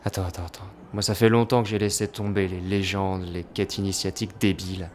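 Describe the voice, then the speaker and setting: An actor playing a jaded, cynical crime boss with a blunt, dismissive manner in an audio drama. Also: male voice, sits low, flat delivery, a little fast, slight background noise, close to an everyday voice. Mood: scornful. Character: jaded, cynical male crime boss, former elite fighter.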